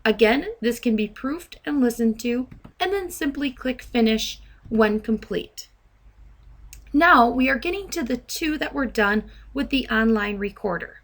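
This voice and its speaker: A woman software trainer narrating a step-by-step demo in a measured, instructional way. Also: on the high side, expressive.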